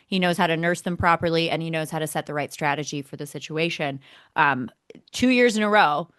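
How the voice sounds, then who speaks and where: A woman's voice: deep voice, fairly quick, a bit bright, clear, resonant tone, no echo, clean studio sound. A woman co-hosting a sports podcast, talking casually as a keen fan with emphatic repetition for effect. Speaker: motorsport podcast co-host.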